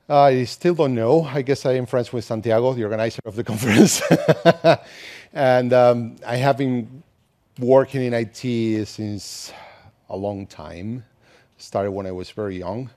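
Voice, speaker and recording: A man in his thirties from Argentina, with an Argentine Spanish accent, giving a conversational conference talk with frequent restarts. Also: lowish voice. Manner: relaxed, conversational, with many restarts. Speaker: conference speaker. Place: conference hall, indoors.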